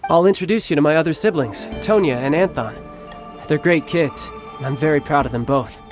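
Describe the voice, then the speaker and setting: A suave young warrior acted by a man for a video game dub. He speaks in a slightly high male voice with polished, teasing ease, like a doting older brother. Music is clearly audible behind him. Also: fairly quick, lightly acted. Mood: confident, friendly. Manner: polished, smooth-talking, teasing. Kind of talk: English game dub acting. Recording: background music under the voice.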